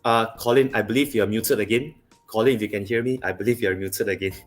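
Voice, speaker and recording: Low-voiced Malaysian man, measured talk. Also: fairly even intonation, faint music behind. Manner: measured, explanatory with many fillers. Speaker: livestream co-host. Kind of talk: casual livestream chat.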